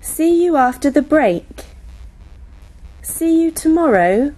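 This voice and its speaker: A woman slowly reading out classroom phrases for teachers, each phrase spoken separately. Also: high-pitched, lively intonation, warm tone, poor audio. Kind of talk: slow, clearly spoken classroom English read aloud.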